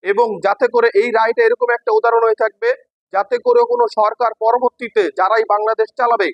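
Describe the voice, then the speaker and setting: A man's voice: very high voice, big pitch swings, fast talker, no echo, lo-fi sound. A man from Bangladesh with a Bangladeshi accent making an impassioned, emphatic political statement as an expatriate. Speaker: expatriate giving his opinion.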